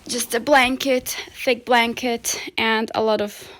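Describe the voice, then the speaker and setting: A young woman whose first language is Slovak talks brightly and chattily to the camera. She films a casual home vlog at arm's length on her phone in her living room. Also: a little high, level tone, takes it slowly. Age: 20s. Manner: chatty, upbeat, talking to camera. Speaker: vlogger and software engineer. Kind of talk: casual day-in-the-life vlog.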